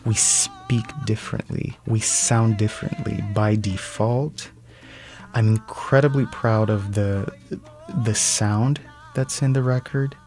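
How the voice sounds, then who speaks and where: A man with a Brazilian Portuguese accent talks thoughtfully and picks his words with care. His voice is low and mellow, with faint music behind him. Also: steady tone, slow pace. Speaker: band musician.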